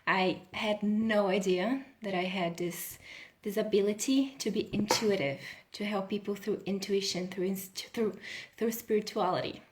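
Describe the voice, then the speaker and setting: A woman's voice: medium pitch, steady tone, unhurried, slight background noise. A woman in her thirties from Brazil, a healing coach talking casually on a live video and laughing often. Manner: chatty, laughs often, restarts sentences.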